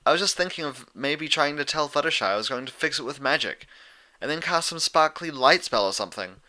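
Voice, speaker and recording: A man's voice: fairly high pitch, brisk, voice pushed up. A man voicing an earnest, bookish female pony who explains things eagerly and a little awkwardly, in a storybook reading. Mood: sheepish. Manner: explanatory, excitable, slightly awkward.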